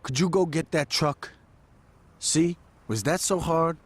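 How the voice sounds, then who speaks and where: An actor playing a shrewd, impatient young street gang lieutenant in a video game, slangy and confident, with an American accent. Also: male voice, on the high side, slow.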